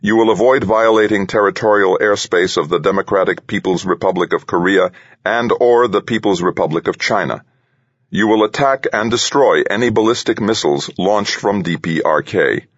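A man's voice: lowish voice, normal speed, dry recording, dull audio, voice pushed up, lightly acted. A man voicing a computer-synthesized voice in an audiobook, dictating formal military orders in a mechanical drone. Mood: droning, formal.

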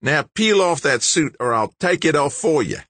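A man acts out a giant, shaggy thug from an adventure novel. He growls and snarls with a rough husky edge, pushed up in pitch from the narrator's own voice. His manner is belligerent, suspicious and foul-mouthed. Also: expressive, moderate pace, a bit bright, no room sound. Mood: snarling. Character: huge shaggy, short-fused male brute, suspicious.

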